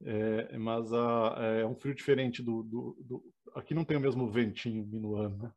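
A man's voice: medium pitch, moderate pace. Male guest, faint gaúcho accent, easygoing and grateful, conversational. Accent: faint gaúcho Brazilian Portuguese. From Rio Grande do Sul, Brazil. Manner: friendly, grateful, relaxed. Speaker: guest, researcher. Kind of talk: casual interview chat.

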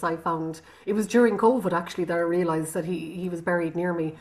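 A woman with an Irish accent, a guest artist speaking reflectively in an interview, pausing with frequent ums and restarts. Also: lowish voice. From Ireland.

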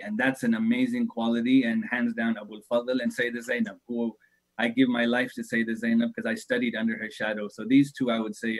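A man's voice: mid-range voice, low-quality recording. A man in his thirties, a religious teacher, answering interview questions thoughtfully, hesitating often and laughing easily. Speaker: shaykh, religious speaker.

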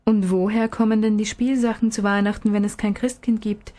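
A woman voicing a patient father who questions and explains gently in a storybook reading. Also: medium pitch, little pitch movement, a little fast, lightly acted. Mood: questioning. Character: patient, gently probing father of a small boy.

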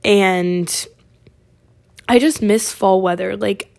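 A college-age woman from Oregon hosting her podcast, chatting casually with a raspy voice and lots of fillers. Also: mid-range voice, slow, a little mellow. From Oregon, USA. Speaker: podcast host. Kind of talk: casual chat.

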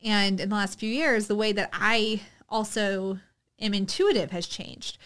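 Woman podcast co-host, casual chat, unhurried and reflective, easygoing. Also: medium pitch, slight background noise. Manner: relaxed, reflective, hesitant with many fillers.

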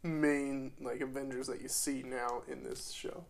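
A man's voice: mid-range voice, flat delivery, measured pace, creaky. A man with a slightly clogged-up voice chatting casually, often hesitating and trailing off unsure. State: congested, a bit clogged up. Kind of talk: casual chat.

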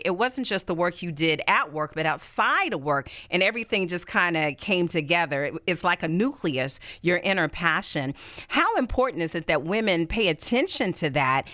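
A woman hosting an interview speaks with a lower voice that swings widely and expressively in pitch. She sounds enthusiastic and affirming toward her guest. The recording is dry and close, with almost no room sound. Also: big pitch swings, normal speed, sharp tone, no room sound. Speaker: interview host. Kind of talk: conversational interview.

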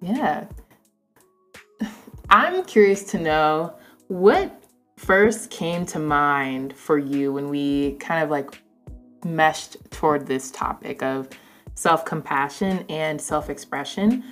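A woman hosts a conversation in a distinctly low voice. Her delivery is casual and halting, full of informal asides. Soft music is faintly audible behind her. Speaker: interview host.

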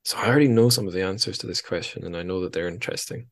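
A man hosting a casual interview show, thinking aloud in a halting way with wry asides. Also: sits low. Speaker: podcast host, interviewer.

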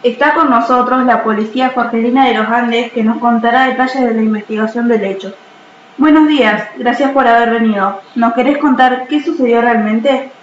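A woman's voice: fairly high pitch, fairly even intonation, normal speed, lightly acted. Female amateur as breaking-news reporter, radio play style, muffled roomy audio. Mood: newsy. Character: female radio newsreader reporting a fatal accident. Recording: dull, echoey, faintly noisy.

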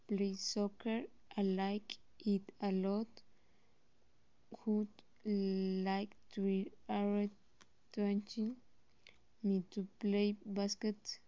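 Slow, hesitant teen girl with Costa Rican accent. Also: medium pitch, mellow, dry recording, highs cut off. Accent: Costa Rican Spanish. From Costa Rica. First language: Spanish. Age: teens. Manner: halting, with pauses and self-corrections. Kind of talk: reading a personal introduction.

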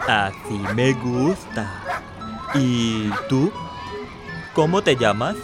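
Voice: mid-range voice, lively intonation, drawn-out words. A performer playing a big, menacing eleven-year-old bully boy in a radio drama, commanding and intimidating. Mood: bossy. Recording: loud music bed behind.

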